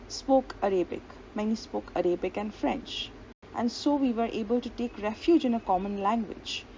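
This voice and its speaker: A woman's voice: a little high, dark tone. Woman lecturing over dull lo-fi audio. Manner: reads long quotations aloud, occasional fillers and restarts. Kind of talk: explanatory lecture. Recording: dull lo-fi audio with faint hiss.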